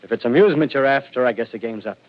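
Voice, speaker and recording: Brief: man acting a feverish, scheming ex-soldier in a vintage radio drama, mid-pitched, muffled old broadcast. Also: racing, lightly acted. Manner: rambling, feverish, swings between hushed and loud. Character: desperate male ex-militiaman scheming to survive the invaders.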